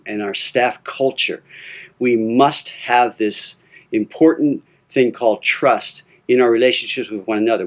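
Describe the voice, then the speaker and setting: An older man, a pastor, giving earnest, measured leadership advice with a few small restarts. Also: mid-range voice. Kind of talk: recorded leadership advice talk.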